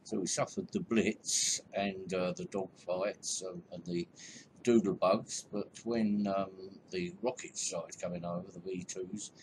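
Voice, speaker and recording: A man around eighty narrating his own life story in a chatty, rambling way, with many ums and soft chuckles. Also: sits low, steady tone, a little slow, noisy background. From England. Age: about 80. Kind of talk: reminiscing voice-over narration.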